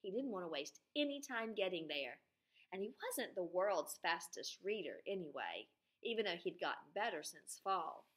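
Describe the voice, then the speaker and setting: Female teacher, bright forward tone, expressive children's read-aloud with simple questions to young listeners. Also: on the low side, moderate pace.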